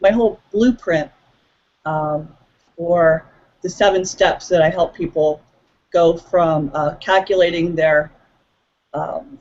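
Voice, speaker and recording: A woman's voice: lowish voice, darkish tone, muffled recording. A woman appearing as a guest coach in an online interview. She speaks earnestly and hesitantly, with frequent ums.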